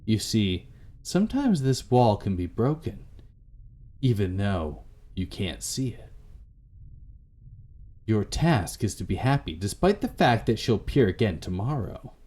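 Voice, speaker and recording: A man's voice: lowish voice, sing-song, a little slow, pauses often, mellow, husky. A man reading a visual novel aloud as a let's player, narrating dramatically. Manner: dramatic, rereads stumbled lines. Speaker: let's play YouTuber. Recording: music playing under the voice. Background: game music.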